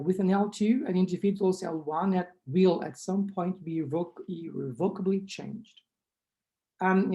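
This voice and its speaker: A hoarse, gravelly middle-aged man speaking English with a Brazilian accent, his tone dark and mellow. He lectures at a conference through a webcam in a small room, restarting phrases and asking "right?" as he moves through his slides. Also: a little high. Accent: Brazilian Portuguese. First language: Brazilian Portuguese. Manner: restarts and self-corrections, frequent "right?". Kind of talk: academic lecture over slides. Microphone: webcam on a video call.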